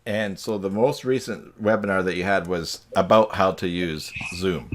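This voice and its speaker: A man's voice: low-pitched, fairly even intonation, normal speed. A man hosting a casual live group chat over a video call in a joking manner. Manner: joking, chuckling. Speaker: host of a live stream.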